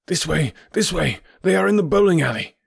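A man's voice: a little high, animated, brisk, gravelly, breathy edge, no room sound, clean studio sound, voice pushed up, heavily acted. A man voicing a frantic, desperate male ally in an audiobook story, exclaiming with urgency.

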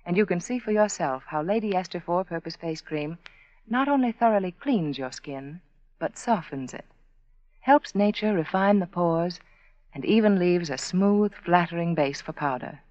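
A woman giving an earnest, intimate sales pitch as the sponsor's spokeswoman in a 1940s radio commercial. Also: animated, normal speed, a little mellow, dry recording. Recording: dry, dull 1940s radio recording.

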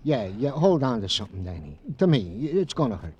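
An actor playing a fussing, loyal American police sergeant sidekick, folksy and stammering, as comic relief in an old radio drama. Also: male voice, medium pitch, sing-song, brisk, dark tone, slightly rough, lo-fi sound. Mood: indignant. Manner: stammering, folksy, mangles phrases.

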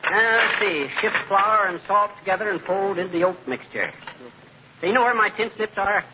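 A blustery, boastful American husband who wisecracks his way through old radio comedy. His voice sits high and jumps around in pitch. It comes through a tinny, noisy vintage recording. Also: animated, a little fast. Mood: bumbling, wisecracking. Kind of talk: 1940s radio comedy acting.

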